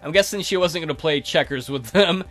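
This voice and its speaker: A man hosting a let's play with casual, crude, joking commentary in offhand deadpan asides. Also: on the high side, fairly quick.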